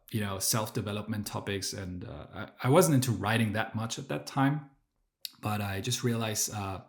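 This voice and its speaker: A man whose native language is German, telling his story as a podcast guest, with many fillers and restarts. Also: lowish voice. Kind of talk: casual interview storytelling.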